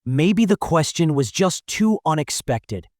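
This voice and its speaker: Man voicing an anxious, expressive teenage schoolboy loner. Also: a little high, unhurried, no room sound, clean studio sound. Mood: pensive. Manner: hesitant, musing to himself. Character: anxious, awkward teenage schoolboy loner, earnest and easily flustered. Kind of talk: anime-style dubbed story acting with inner monologue.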